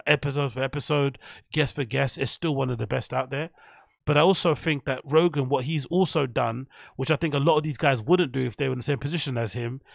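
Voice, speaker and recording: A man talks through his theory in a loose, meandering way, often correcting himself as he goes. His voice has a gravelly edge. The sound is dry and close, with no room around it. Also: mid-range voice, fairly bright tone, dry recording. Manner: rambling, self-correcting, opinionated. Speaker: podcast host. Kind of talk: casual commentary monologue.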